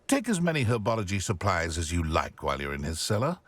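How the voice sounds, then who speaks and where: Low-voiced, theatrical scheming shopkeeper. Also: male voice, huge pitch swings, fast talker, slightly rough, full character voice. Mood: conspiratorial. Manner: theatrical, relishing, wordy. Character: scheming, gleeful middle-aged male shopkeeper plotting against a rival. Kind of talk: video game dialogue acting.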